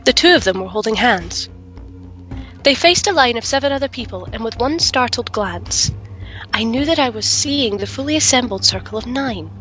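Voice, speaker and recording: Young fantasy heroine played by a woman, bookish, earnest, highish crisp bright voice, formal storyteller's diction, music underneath. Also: expressive, normal speed. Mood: solemn narration. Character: shaken but curious young woman adventurer, bookish, romantic. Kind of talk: fantasy audio drama dialogue and first-person narration.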